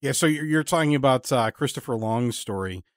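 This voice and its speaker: Man, interviewer, casual and conversational, halting delivery, average pitch, dry recording. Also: animated, dry recording. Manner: halting, repeats words as he searches. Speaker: interviewer, podcast host.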